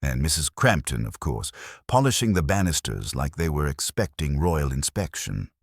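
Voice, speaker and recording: Very deep-voiced male storyteller. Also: sing-song, breathy edge, no room sound, clean studio sound. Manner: measured, formal, literary storytelling. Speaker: narrator reading a detective story. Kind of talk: scripted audiobook narration of a mystery.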